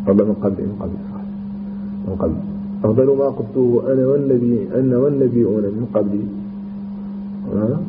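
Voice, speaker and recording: A man teaching a classical grammar lesson, explaining slowly with restarts and repetitions and chanting verse lines. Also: mid-range voice. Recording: tinny phone-like line with background hum.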